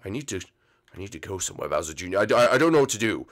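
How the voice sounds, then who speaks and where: A man's voice: low voice, sing-song, rapid-fire, soft background music, pitched below the performer's own voice. A man voicing a conflicted villain father monster in a cartoon-style story, hesitant and stammering. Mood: conflicted, rattled.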